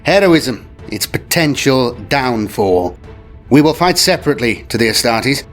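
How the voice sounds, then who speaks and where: A man's voice: medium pitch, pitched above the performer's own voice, close to an everyday voice. A man voicing a commanding upper-class space navy admiral, formal and theatrical with genteel old-fashioned slang, in an audio story over music. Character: upper-class naval Lord Admiral briefing officers, commanding and jaunty. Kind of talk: dramatised audio story briefing.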